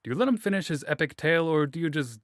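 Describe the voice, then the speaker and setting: A man's voice: on the high side, expressive, racing, no echo, clean studio sound. A man hosting an interview, asking playful questions in an easygoing, joking way with many fillers. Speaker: interviewer, host.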